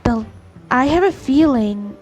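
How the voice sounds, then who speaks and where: High-voiced woman haltingly reading game dialogue. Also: lively intonation, a little mellow, soft background music. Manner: halting, stumbling read-aloud. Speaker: gameplay streamer. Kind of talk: reading game dialogue aloud during a playthrough.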